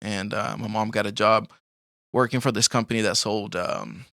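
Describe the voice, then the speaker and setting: A man's voice: lowish voice, normal speed, creaky, bright, forceful tone, dry recording, clean studio sound. A young man from Colombia telling his life story in a casual interview, rambling with lots of fillers and frequent laughs. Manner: rambling, laughs often, many fillers like "like" and "you know". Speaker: podcast guest.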